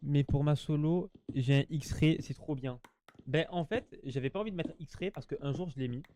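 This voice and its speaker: A man's voice: a little high, moderate pace, dark tone, slight background noise. A man giving casual, slangy gameplay commentary, chatting to his viewers and often repeating himself. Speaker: gaming YouTuber.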